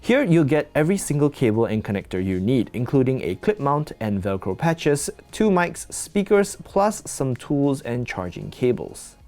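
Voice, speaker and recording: A man's voice: medium pitch, sing-song, normal speed, hardly any pauses, darkish tone, faint music behind. A man narrating a scripted product review as the host, in a conversational, lightly wry manner.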